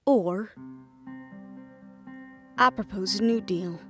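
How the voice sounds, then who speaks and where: A woman's voice: medium pitch, slow pace, music in the background, natural voice. A woman playing a defiant, fiercely independent half-fae daughter, blunt and sarcastic, in a fantasy audio drama. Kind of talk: fantasy audio roleplay acting.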